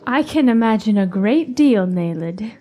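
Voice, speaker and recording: Woman as a snooty young village beauty, bit high, airy and ambitious, fantasy audio drama. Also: expressive, unhurried, warm tone. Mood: scornful. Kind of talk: fantasy radio drama acting.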